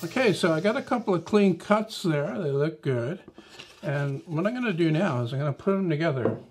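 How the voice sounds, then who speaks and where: A man's voice: fairly high pitch, a little fast, slight background noise. A middle-aged man hosting a woodworking lesson, explaining casually as he works at the saw in his shop. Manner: relaxed, explanatory, talks while working. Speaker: woodworking channel host. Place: small woodworking shop indoors.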